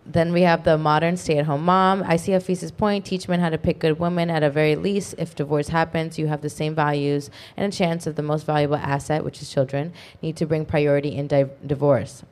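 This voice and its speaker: A woman co-hosting an online talk show, reading viewer comments aloud quickly, with frequent fillers. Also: sits low, level tone, darkish tone. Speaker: co-host reading super chats. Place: online video podcast studio.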